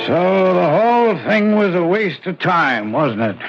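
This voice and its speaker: A wounded, reproachful older father in vintage radio drama style. His voice sits somewhat high for a man. The recording is dull and dated. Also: very animated, unhurried, gravelly, over loud music, exaggerated voice. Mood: accusing. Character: bitter, wounded, stubborn older father of grown daughters. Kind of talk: 1970s radio drama acting. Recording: muffled 1970s radio recording.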